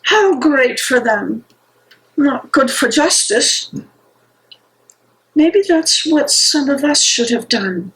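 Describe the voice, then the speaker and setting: Woman playing a high-voiced, slow, despairing dissident. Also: animated, a bit bright, heavily acted, rough recording. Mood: fearful, resigned. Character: despairing, weary dissident haunting a captured comrade. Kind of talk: stage play dramatic reading.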